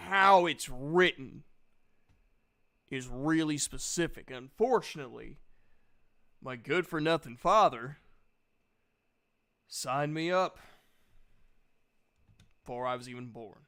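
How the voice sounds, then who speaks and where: Man playing a male warlock, reluctant, resentful, halting explanations, grumbling, tabletop roleplay. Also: fairly high pitch, fairly bright tone, smooth.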